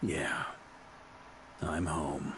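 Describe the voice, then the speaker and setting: A man playing a seasoned, easygoing grandmaster knight in a video game, speaking in an unhurried, reflective, wry way. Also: very deep voice, fairly even intonation, fairly bright tone, slight background noise, full character voice. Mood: warm, relieved.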